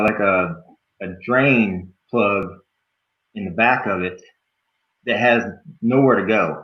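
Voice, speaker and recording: Lowish-voiced man in a roomy, dull recording. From Georgia, United States. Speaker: guest. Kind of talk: casual chat. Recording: echoey, dull video-call audio.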